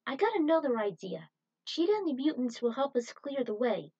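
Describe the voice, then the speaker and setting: Woman playing a commanding young male squad leader, high-pitched. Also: very animated, fairly quick, mellow, muffled recording. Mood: commanding, encouraging. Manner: urgent, encouraging orders.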